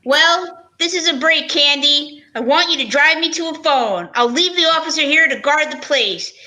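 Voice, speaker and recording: A woman playing a protective, weary male homicide inspector in an amateur old-time radio drama, with a measured, put-upon delivery. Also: sits high, bright, forceful tone, rough recording. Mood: businesslike.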